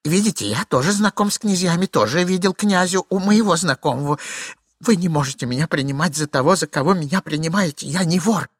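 A flustered, obsequious gentleman of middle age from a storybook, played by a man. His husky voice leaps up and down as he babbles, pleads and corrects himself. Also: fairly high pitch, lively intonation, brisk, no echo, clean studio sound, voice pushed up. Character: flustered, obsequious middle-aged jealous husband, rambling nervously. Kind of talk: theatrical literary reading.

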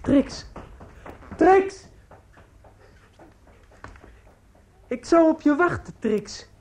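A man's voice: extremely high pitch, animated, slow pace, a little mellow, exaggerated voice. An actor playing a brooding, hesitant young man in love, in a radio drama. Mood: desperate. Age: young adult.

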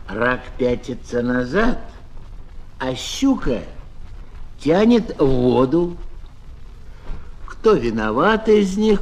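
A man giving a theatrical, expressive recitation of verse fables for children as a storyteller. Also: fairly high pitch. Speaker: fable narrator, actor. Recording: noisy old flexi-disc record.

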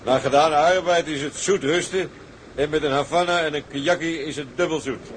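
Man playing earthy sailor, jocular, folksy, plain-spoken, fairly high voice, faint background noise, sea drama. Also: steady tone, brisk, natural voice. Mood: jovial. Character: jolly, earthy, hard-drinking deckhand with simple tastes. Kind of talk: Dutch dramatised sea story acting.

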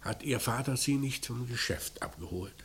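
An actor playing a probing, dry police commissioner in a crime radio play, with a measured way of questioning. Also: male voice, medium pitch, expressive, slight background noise, natural voice. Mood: gently probing. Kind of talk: German radio drama acting.

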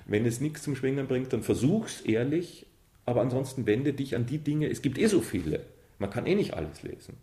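A man's voice: mid-range voice, very animated, brisk, warm tone. A man giving emphatic, plain-spoken opinions in casual interview talk. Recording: echoey room.

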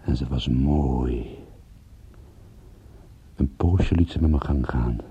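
A man playing a world-weary, tender private detective in a radio drama, speaking in a measured, intimate way. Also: rumbling low, breathy edge, highs cut off, full character voice. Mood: hushed, tender. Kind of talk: radio drama acting with first-person narration.

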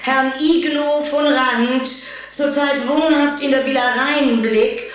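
An actress playing a sentimental, easily indignant widowed vampire aunt in an audio play, speaking in a formal, theatrical, drawn-out way. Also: female voice, sits high, fairly even intonation. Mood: solemn, sentimental. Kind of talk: German audio play acting. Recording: very echoey, distant from the mic.

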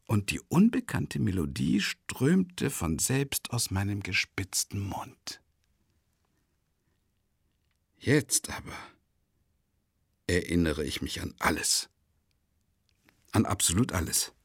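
Rambling unstable mental patient in a radio drama, very animated pitch, husky, theatrical. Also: male voice, slow pace, dry recording, clean studio sound, heavily acted. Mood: brooding recollection. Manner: theatrical, rambling, swinging from musing to agitated. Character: unhinged male psychiatric patient confessing to his doctor. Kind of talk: radio play monologue.

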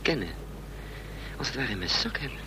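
A man's voice: medium pitch, sing-song, a little fast, vocal fry. An actor playing an anxious, scheming adventurer in a radio play, muttering and hesitating as he thinks aloud. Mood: brooding, urgent. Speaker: protagonist and narrator of a radio play. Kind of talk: Dutch radio play acting. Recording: noisy old radio play recording, vehicle drone.

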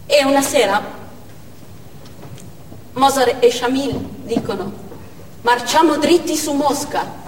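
Hardened female fighter, stage monologue, fairly high voice, distant in a reverberant hall. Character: hardened Chechen woman militant trained for attack. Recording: echoey hall, distant mic, faint noise.

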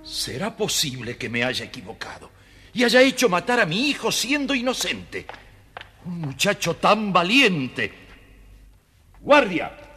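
Casting: elderly anxious storybook king; slow, bright, theatrical, long hall reverb. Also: high-pitched, huge pitch swings, soft background music, exaggerated voice. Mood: remorseful. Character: frail, anxious old king and father. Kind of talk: children's audio storybook acting. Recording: reverberant hall, faint noise.

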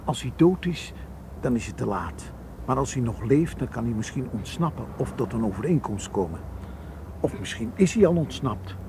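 An actor playing a careful, level-headed Egyptian tour guide in a radio drama, measured and reassuring. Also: male voice, medium pitch, sing-song. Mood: calm, reassuring. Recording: noisy old radio play recording.

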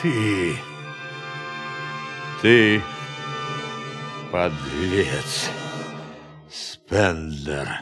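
An actor in an audio drama playing a proud, defiant astronaut with an intense delivery that moves between hushed and explosive. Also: male voice, low voice, animated, very slow, long pauses, music in the background, full character voice. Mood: shaken, furious. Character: proud Cherokee astronaut, loyal crewmate, horrified and defiant. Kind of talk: Russian audio drama acting.

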